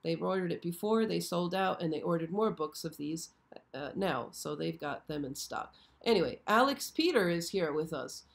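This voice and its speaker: A hoarse woman hosting a casual live stream, chatty and often checking in with her viewers. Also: lowish voice, lively intonation, normal speed. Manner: chatty, frequently checks in with "okay?". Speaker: show host. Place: show set.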